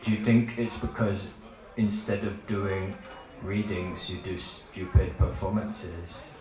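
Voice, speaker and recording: A man playing a smug, condescending male literary critic. He speaks slowly and patronizingly, in a deadpan way. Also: low-pitched, over music. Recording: echoey live event hall.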